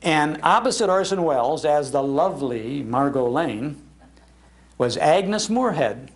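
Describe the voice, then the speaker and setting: An older man from Chicago gives a nostalgic talk in a Midwestern accent. His voice sits slightly high for a man and has a marked gravelly creak. He tells his stories in a folksy, animated way, repeating phrases for emphasis. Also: measured pace. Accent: American Midwestern. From Chicago, United States. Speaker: speaker giving a talk on old-time radio. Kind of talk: nostalgic storytelling to a live audience. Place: public library, live talk.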